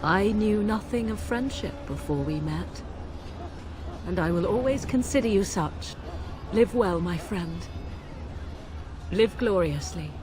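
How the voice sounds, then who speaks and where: A woman voicing a proud, guarded witch in a fantasy game, formal and measured, hiding tenderness under a cool manner. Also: mid-range voice, animated. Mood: solemn, guarded. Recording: music behind.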